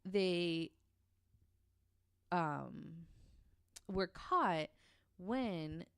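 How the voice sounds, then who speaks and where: A woman's voice: lowish voice, animated, very slow, fairly bright tone, clear, resonant tone, no echo. A woman telling a researched story on a podcast in a casual, conversational way, with an informal, hesitant delivery.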